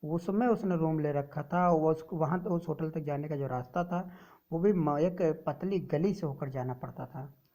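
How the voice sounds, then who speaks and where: A woman teacher explaining a textbook story to students, reading English lines slowly and then explaining them in Hindi. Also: deep voice, warm tone, muffled recording.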